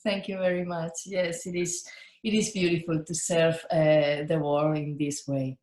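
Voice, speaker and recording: A woman from Spain, a guest on an online interview, answering gratefully with soft laughs. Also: low-pitched, flat delivery, a little slow. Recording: roomy video call audio.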